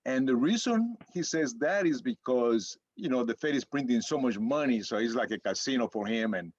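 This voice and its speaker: A man's voice: medium pitch, lively intonation, normal speed, a little mellow, dry recording. A middle-aged man co-hosting a podcast with his son, talking in a rambling way and searching for words. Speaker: podcast co-host, father. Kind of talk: casual chat. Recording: dull lo-fi sound, dry room.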